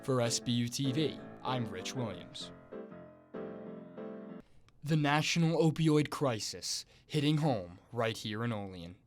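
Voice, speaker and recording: A man's voice: medium pitch, expressive, slow pace, pauses often, over music. A young man delivering scripted broadcast news as a student TV reporter. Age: young adult.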